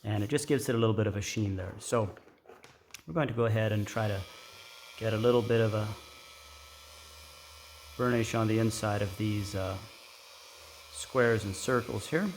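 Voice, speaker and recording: Low-voiced man explaining over workshop noise. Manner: unhurried, explanatory, informal. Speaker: craft tutorial host. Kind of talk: casual hands-on tutorial narration. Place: indoor workshop at a workbench. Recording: clear background noise with clicks.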